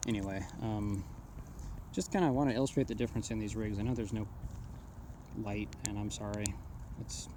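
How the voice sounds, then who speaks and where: A man's voice: on the low side, heavy background noise. A man talking casually and off the cuff as he demonstrates radio gear, rambling but blunt and matter-of-fact. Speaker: amateur radio hobbyist reviewing gear. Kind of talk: casual unscripted product demonstration.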